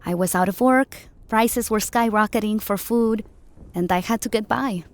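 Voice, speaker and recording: A woman's voice: medium pitch, moderate pace, close to an everyday voice. An actress as a proud, candid South American woman. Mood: somber. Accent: South American Spanish. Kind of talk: audiobook character acting. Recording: music behind the voice.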